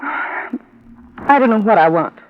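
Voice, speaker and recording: A woman's voice: mid-range voice, expressive, slow pace. A woman acting the part of a worried, suspicious wife in a 1950s radio drama, speaking earnestly and hesitantly. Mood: guarded, conflicted. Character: worried, suspicious wife of a missing investigator, troubled, guarded. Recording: tinny, noisy old-time radio recording.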